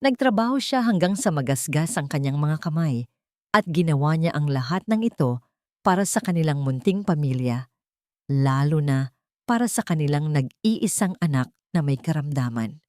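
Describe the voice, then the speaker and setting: A woman narrating a dramatic first-person audiobook story in a measured, storytelling way. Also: low-pitched, lively intonation, darkish tone, dry recording, clean studio sound.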